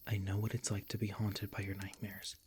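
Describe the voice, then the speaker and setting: A man playing a protective, tender monster hunter in an ASMR roleplay, speaking in a gentle, halting, earnest way with a husky, breathy voice. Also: lowish voice, completely flat delivery, a little hiss or hum, close to an everyday voice. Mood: tender, concerned. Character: protective, tender male monster hunter comforting his beloved. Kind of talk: ASMR roleplay, soft intimate acting.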